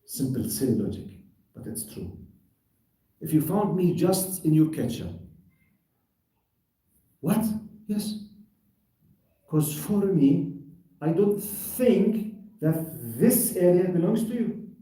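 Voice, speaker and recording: A man's voice: sits high, lively intonation, slow, pauses often, mellow. A man giving a religious lesson on Quran commentary, arguing through rhetorical questions and everyday examples. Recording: very echoey room, distant mic.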